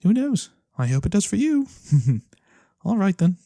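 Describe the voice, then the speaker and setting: A performer voicing a mysterious, courteous fantasy lorekeeper in a soft-spoken ASMR roleplay, breathy and theatrical with knowing pauses. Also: medium pitch, big pitch swings, no room sound, clean studio sound. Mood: warm, courteous. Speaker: storyteller character.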